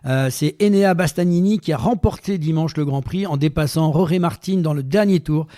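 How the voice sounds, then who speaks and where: A man's voice: fairly high pitch, moderate pace, mellow. Chatty man hosting a sports radio show. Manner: chatty, joking, many fillers. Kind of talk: casual hosted radio talk.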